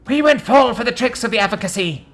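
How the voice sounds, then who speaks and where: A man voicing an excitable young elf twin girl with an eager, squeaky manner. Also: quick pace, vocal fry, soft background music, full character voice.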